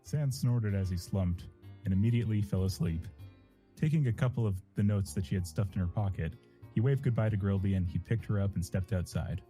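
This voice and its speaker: A man's voice: lowish voice, fairly quick, dark tone, soft background music, poor audio. A man in casual livestream chat, also narrating fan fiction aloud. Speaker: voice actor, stream guest.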